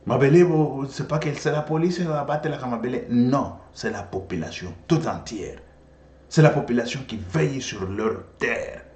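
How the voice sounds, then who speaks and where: A man from Congo, a Swahili native speaker with a Congolese French accent, giving an impassioned political monologue as an animated storyteller who repeats himself and switches languages. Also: medium pitch, normal speed. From Democratic Republic of the Congo. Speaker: political commentator. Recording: echoey room.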